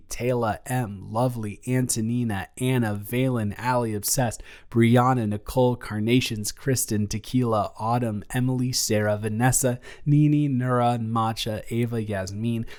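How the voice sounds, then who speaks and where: A man's voice: mid-range voice, steady tone, a little slow, hardly any pauses, darkish tone. A man, an audio creator, reading out supporter names and a channel announcement.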